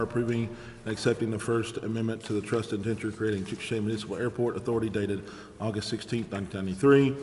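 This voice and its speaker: A man's voice: fairly low pitch, darkish tone. An American man chairing a city council meeting, formally reading agenda items and calling for votes. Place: large indoor council chamber. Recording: echoey council chamber, clicks, background noise.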